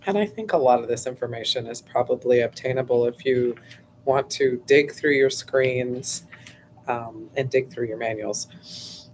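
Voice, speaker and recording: A woman hosting a casual livestream, her voice going hoarse, rambling chattily with lots of fillers and frequent throat clearing. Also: rumbling low, a little mellow, slight background noise, low-quality recording. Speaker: host.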